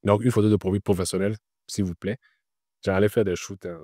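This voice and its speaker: Guy giving career tips in an interview, kinda low and mellow, keeps repeating himself, lots of fillers. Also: steady tone, quick pace, no echo, clean studio sound. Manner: explanatory, repeats points, frequent filler words. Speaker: interview guest working in Web3. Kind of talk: casual advice-giving interview.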